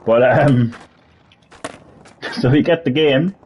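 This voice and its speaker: A man hosting a casual gaming stream, rambling, laughing often and reading web text aloud haltingly. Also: medium pitch, darkish tone, a little hiss or hum. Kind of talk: casual gameplay chat.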